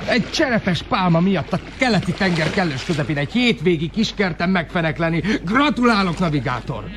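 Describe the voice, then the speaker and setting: Breezy slangy gang boy, radio drama, fairly high and animated, noisy effects behind. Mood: ironic, hearty. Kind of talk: radio play acting.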